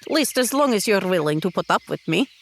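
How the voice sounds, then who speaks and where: A woman plays a young female adventurer in a tabletop fantasy game. She is fiercely loyal and determined, speaking in earnest, emphatic phrases at a middle pitch. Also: big pitch swings, fast talker. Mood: resolute, loyal. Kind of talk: in-character tabletop roleplay.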